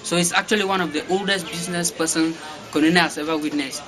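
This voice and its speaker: A man's voice: on the high side, fairly even intonation, fast talker, crowd noise. A young Cameroonian man with a Cameroonian English accent, giving interview answers with many fillers and restarts. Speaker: guest. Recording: noisy background.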